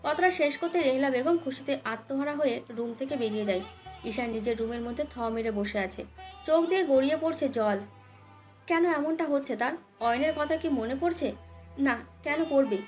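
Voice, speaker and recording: A woman narrating a dramatic romance story as a scripted audio tale. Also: high voice. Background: background music.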